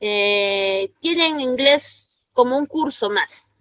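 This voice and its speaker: Give me a woman teacher with a Peruvian accent and a bright, raised pitch. She lectures in a dry, close sound and keeps checking her students are following. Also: expressive, slow, no room sound. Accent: Peruvian Spanish. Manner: explanatory, frequent "¿no?" checks, rhetorical questions to students. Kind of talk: classroom lecture.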